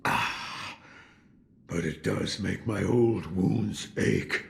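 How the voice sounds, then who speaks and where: An actor playing a weary, gruff old hermit warrior in a game cutscene, gravelly, terse and brooding. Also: male voice, low-pitched, drawn-out words, exaggerated voice. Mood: wry, weary. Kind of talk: video game cutscene acting. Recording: long hall reverb.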